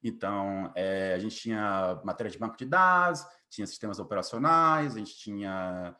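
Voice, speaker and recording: A man's voice: fairly low pitch, animated, normal speed, bright, forceful tone. A man in his late twenties with a Bahian Brazilian accent, telling his story informally as an interview guest, often adding little tag questions. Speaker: interview guest, software developer. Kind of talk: casual interview, personal story.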